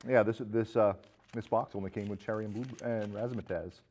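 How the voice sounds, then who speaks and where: A man's voice: on the low side, fairly even intonation, a little fast, a little mellow, slight background noise. A man hosting a casual film chat show, talking with a guest. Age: adult past college. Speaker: host.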